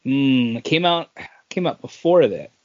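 A man's voice: mid-range voice, expressive, measured pace, darkish tone. A man chatting casually and thinking aloud as a quiz contestant on a podcast. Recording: dull lo-fi podcast audio.

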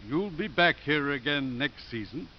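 An actor playing a wry, measured priest who runs a college, in 1950s radio drama style. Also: male voice, on the high side, lively intonation, close to an everyday voice. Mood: dry, wry. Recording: dull, hissy 1950s radio recording.

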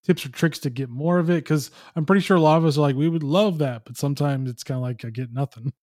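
A man's voice: on the high side, quick pace, dark tone, no echo, studio quality. A man hosting a podcast, speaking in a conversational interview style. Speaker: podcast host.

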